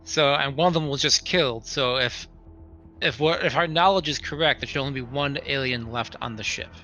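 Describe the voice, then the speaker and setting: A man with a bright, forceful voice and medium pitch talks casually as a tabletop game player. He reasons aloud in a deliberate way, with faint music behind him. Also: muffled recording.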